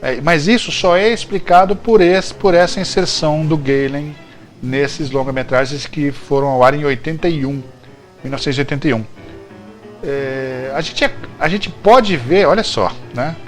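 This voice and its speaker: A man's voice: on the high side, normal speed, soft background music. A Brazilian man hosting a show, talking casually and jokily about trivia and often correcting himself. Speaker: show host. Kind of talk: casual, chatty TV-trivia commentary.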